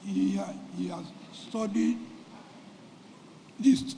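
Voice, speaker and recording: A Nigerian man giving a formal prepared speech at a symposium, measured and deliberate, losing his voice and clearing his throat. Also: sits high, fairly even intonation, dark tone, a little hiss or hum. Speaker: symposium speaker. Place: event hall. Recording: reverberant hall, faint ambience.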